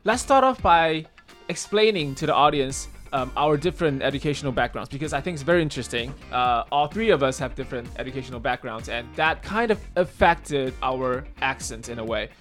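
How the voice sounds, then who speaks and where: A man's voice: medium pitch, lively intonation, fairly quick, fairly bright tone, faint music behind. A man co-hosting a casual radio show. He grew up in Taiwan, speaks with an American accent that has a slight Singaporean tinge, and laughs often. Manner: chatty, laughs often, switches into Mandarin. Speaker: radio co-host. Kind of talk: casual bilingual radio chat.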